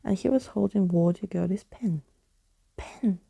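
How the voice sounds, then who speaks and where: A woman reading a storybook for ASMR in a soft, hushed, soothing bedtime manner. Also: mid-range voice, fairly even intonation, a little slow, no echo. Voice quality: soft, breathy.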